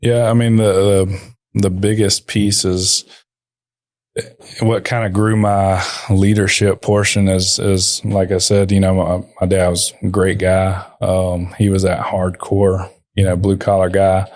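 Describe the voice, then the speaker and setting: A young man, a company owner, talking quietly as a podcast guest, halting with many fillers. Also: sits low, level tone, no echo. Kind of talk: podcast interview.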